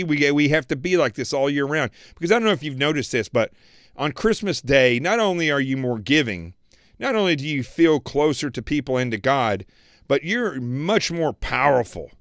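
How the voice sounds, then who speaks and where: A man hosting a political commentary livestream, preaching emphatically and repeating phrases, his voice going hoarse. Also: mid-range voice, no room sound, clean studio sound. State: losing his voice. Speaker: show host.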